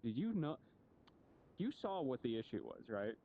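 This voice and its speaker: A man's voice: medium pitch, big pitch swings, moderate pace, phone-quality sound, a little hiss or hum. A man in a game voice chat, matter-of-fact and casually cursing, on a VR headset mic. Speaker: player in voice chat.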